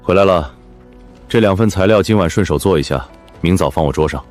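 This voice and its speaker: A man playing a demanding, dismissive middle-aged senior lawyer in an animated drama, curt and bossy. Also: deep voice, brisk, faint music behind, natural voice.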